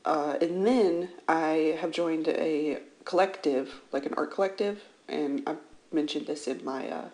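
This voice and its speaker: Low-pitched, slightly scratchy, chatty woman. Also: a little slow, a little mellow. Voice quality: slightly scratchy early-morning voice. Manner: rambling, self-deprecating. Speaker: art channel host. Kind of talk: casual chatty voice-over commentary on a drawing. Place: indoors, seated at a computer.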